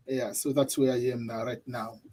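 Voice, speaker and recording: A man from Tanzania chatting casually as a guest on a live stream from home, relaxed and laughing often. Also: medium pitch, breathy edge. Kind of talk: casual chat. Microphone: computer mic.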